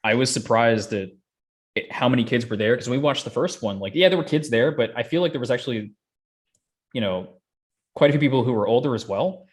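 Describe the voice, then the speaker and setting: A man's voice: mid-range voice, animated, fairly quick. A young man chatting casually as a podcast host. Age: young adult. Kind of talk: casual chat.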